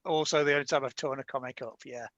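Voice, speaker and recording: A man's voice: mid-range voice, steady tone, fast talker, dull audio, crowd noise. A man in his fifties with a light Yorkshire accent, telling a rambling story on a casual panel chat. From Yorkshire, England. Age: 50s. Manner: chatty, rambling storyteller with frequent restarts. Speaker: panel guest, comic creator.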